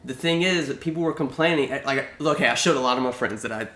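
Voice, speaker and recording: A man's voice: mid-range voice, quick pace, fairly bright tone. Echoey young man in casual chat. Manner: rambling, many restarts and repeats. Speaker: podcast co-host. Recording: echoey room.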